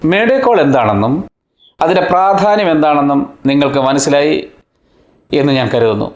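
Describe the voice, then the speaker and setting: Higher-voiced man explaining, echoey room. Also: lively intonation, normal speed. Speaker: presenter of an educational video channel. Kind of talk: explanatory narration. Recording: roomy, echoing voice-over.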